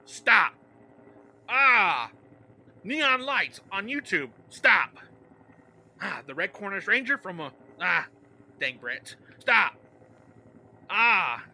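A frantic cartoonish soldier for a comic skit. The voice is very sharp and piercing, pitched high, with halting, exclamatory delivery. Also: male voice, slight background noise, heavily acted, low-quality recording. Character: frantic military private and sergeant duo reading orders.

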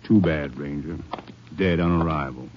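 An actor playing a grave, matter-of-fact hospital doctor speaking in clipped phrases in an old-time radio drama. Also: male voice, very deep voice, moderate pace. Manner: clipped, somber. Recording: muffled, noisy old radio recording.

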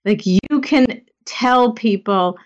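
A woman's voice: a little high, slow. Earnest woman, slightly muffled sound. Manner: earnest, emphatic, with frequent you-knows. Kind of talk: conversational podcast chat.

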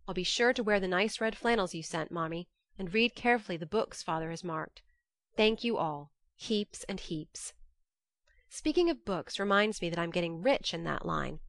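Woman playing a low-voiced, lively young letter writer. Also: no echo. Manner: expressive, chatty, confiding. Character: lively, frank, affectionate young woman writing letters home. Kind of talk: dramatised audiobook letter reading.

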